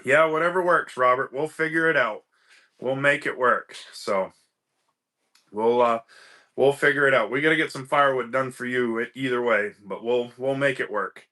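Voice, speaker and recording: A man's voice: mid-range voice, moderate pace, pushed and bright. A man hosting a casual livestream, greeting viewers by name, a little stuffy from a cold. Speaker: livestream host.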